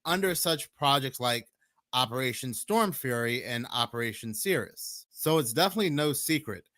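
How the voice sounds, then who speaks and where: Hoarse American man explaining steadily. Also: medium pitch, expressive, normal speed, bright, forceful tone, dry recording. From United States. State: hoarse, sore throat. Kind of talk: explanatory conspiracy commentary.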